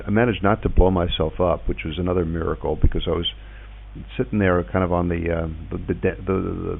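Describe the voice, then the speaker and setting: Phone-like, very deep, gravelly man's voice. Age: middle-aged. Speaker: podcast host and ham radio operator. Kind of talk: casual radio chat, reminiscing. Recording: tinny phone-like internet radio link.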